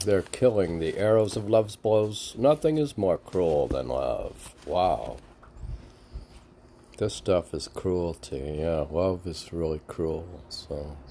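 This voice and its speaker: A man with a low, deep voice reads verse and comments on it informally. He speaks slowly and haltingly, musing and answering his own questions. There is a faint background noise. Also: gravelly, mellow. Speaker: reader and commentator of mystic poetry. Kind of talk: reading poems aloud with rambling informal commentary.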